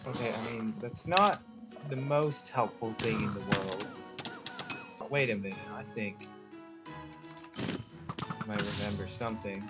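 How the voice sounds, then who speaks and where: A man's voice: fairly low pitch, unhurried, long pauses. A man giving casual playthrough commentary as a gaming video creator. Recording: loud game music behind.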